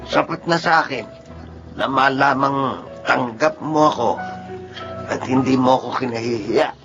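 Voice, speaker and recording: An actor with a Filipino accent playing a gentle, proud middle-aged father in a radio drama, tender and earnest. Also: mid-range voice, a little slow, close to an everyday voice. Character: gentle, proud little father with dwarfism, long used to mockery. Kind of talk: Filipino radio drama acting and first-person narration. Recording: dull audio with music underneath.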